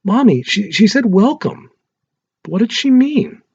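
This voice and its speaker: A man's voice: sits high, lively intonation, a little slow, gravelly, a little mellow, slightly rough, dull audio, voice pushed up, heavily acted. A man voicing a frightened, puzzled three-year-old boy in a story read aloud. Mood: scared, puzzled.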